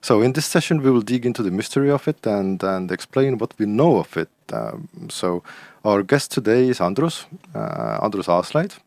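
A man's voice: on the low side, sing-song, moderate pace, gravelly, a little mellow, dry recording. A man hosting a podcast in casual chat, often repeating words as he searches for the next one. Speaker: podcast host.

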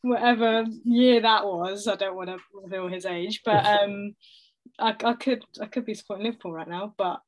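A woman in her thirties with a London accent, chatting casually as an interview guest, self-deprecating and quick to laugh. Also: mid-range voice, steady tone, rough recording. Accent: London English. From North London, England.

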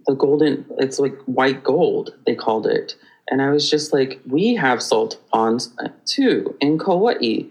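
Animated man telling stories. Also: mid-range voice, measured pace, rough recording. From Hawaii. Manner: animated and rambling, with frequent restarts. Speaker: podcast guest. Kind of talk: conversational storytelling.

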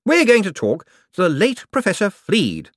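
A man reading an audiobook, voicing a nervous, evasive male wizard bureaucrat who talks in a flustered, hurried way. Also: sits high, wildly swooping pitch, no room sound, studio quality, voice pushed up, exaggerated voice. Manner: flustered, hurried, over-explaining. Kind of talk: audiobook character voice.

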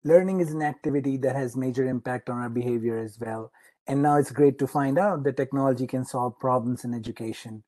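A man hosting a podcast, giving an even, formal sign-off to close the show. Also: medium pitch, brisk. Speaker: podcast host. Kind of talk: scripted podcast outro.

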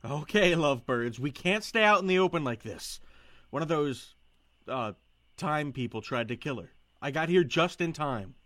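A man's voice: on the high side, expressive, normal speed, fairly bright tone, no room sound. A man playing a loyal, casual sidekick in a sci-fi audio drama. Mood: urgent. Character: casual, loyal male sidekick and getaway driver.

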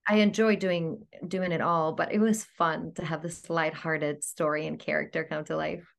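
A woman from Greece, an actor, chatting in a casual podcast interview, upbeat and quick to laugh. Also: deep voice, steady tone, creaky. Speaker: guest actor.

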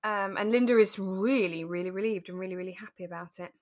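A woman co-hosting a podcast in relaxed casual discussion, explaining things in a thoughtful, tentative way. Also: mid-range voice, fast talker. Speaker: podcast co-host.

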